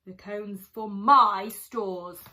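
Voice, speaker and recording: A woman's voice: medium pitch, extremely slow, pushed and bright. A woman voicing a greedy, possessive male squirrel in a children's storybook, hollering with emphatic force. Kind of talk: children's picture-book read-aloud.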